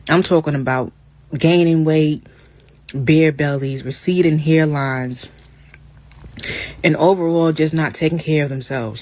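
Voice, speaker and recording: A woman's voice: sits low, a little mellow. A woman giving a blunt, profane lecture in a clipped monologue. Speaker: clip speaker. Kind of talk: ranting monologue.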